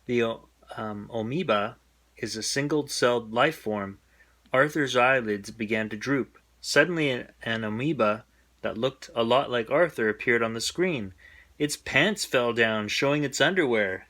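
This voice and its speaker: Guy reading a kids bedtime story out loud, expressive, really bright mid-range voice. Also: mid-range voice, unhurried. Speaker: children's bedtime story reader. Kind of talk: expressive storybook read-aloud.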